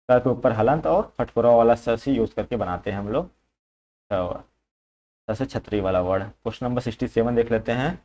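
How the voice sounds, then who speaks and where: A man speaks at a medium pitch as a coaching teacher. He explains practice questions informally, step by step. He often repeats his points and drops English terms into his explanations. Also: darkish tone. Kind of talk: informal exam-prep lesson, working through questions.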